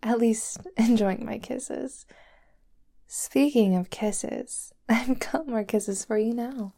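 A woman voicing a doting, possessive young girlfriend who holds her lover captive, talking softly and sweetly in a whispery voice. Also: on the high side, slow, creaky, full character voice. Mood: soothing. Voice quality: soft and whispery. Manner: sweet, clingy, coaxing. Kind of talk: roleplay audio drama to the listener.

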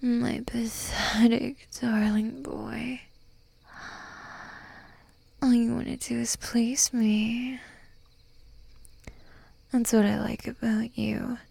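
Slow, husky whispering woman. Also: fairly high pitch, flat delivery, mellow, no echo. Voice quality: breathy whisper. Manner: dominant, teasing, commanding. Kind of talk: whispered adult roleplay audio.

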